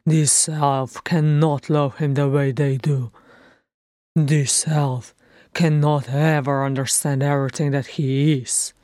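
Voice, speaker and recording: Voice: mid-range voice, little pitch movement, slow pace, a little mellow, no room sound, studio quality, close to an everyday voice. A narrator voicing a proud, brooding dwarf king in an audiobook, growling and drawing out words with heavy emphasis. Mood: angry, insistent. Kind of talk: fan fiction audiobook character acting.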